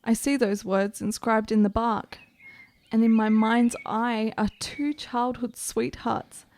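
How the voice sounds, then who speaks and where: Actress as sickly young noblewoman, higher voice, intense, brooding, ornate period radio-play diction. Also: level tone, slow, darkish tone, slight background noise. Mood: wistful, melancholy. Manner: formal, ornate, dramatic delivery. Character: ailing, bitter, melancholy young Italian gentlewoman, intense and morbid. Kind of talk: period radio drama acting.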